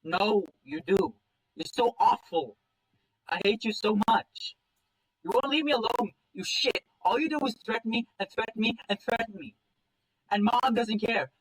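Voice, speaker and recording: A man's voice: high-pitched, moderate pace, pitched above the performer's own voice, rough recording. Man acting a furious, hurt young animal son. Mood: anguished rage. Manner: shouting, strained, emotional.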